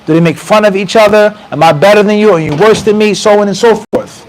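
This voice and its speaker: Brief: American man, 30s, lively mosque lecturer, high voice, handheld mic, faint hiss. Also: quick pace, poor audio. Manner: animated, conversational, quotes Arabic passages. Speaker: mufti teaching a class. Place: small mosque room with bookshelves. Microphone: handheld mic close to mouth.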